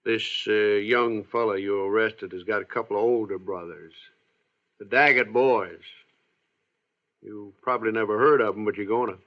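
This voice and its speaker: An actor playing a smug, hostile Old West town constable in a radio drama, with a folksy, menacing way of talking. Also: male voice, lowish voice, lightly acted. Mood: smug threat. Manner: folksy, drawn-out, veiled menace. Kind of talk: 1940s-50s western radio drama acting. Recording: muffled old-time radio recording.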